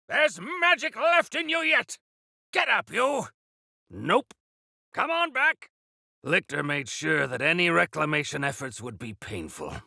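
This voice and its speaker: An actor playing a spry, jovial yet fierce old rebel elder in a video game, with a gravelly voice and a grandiloquent, mischievous manner. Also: male voice, high voice, bright, forceful tone, no echo, clean studio sound, full character voice. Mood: rousing, fierce. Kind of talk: theatrical video game character dialogue.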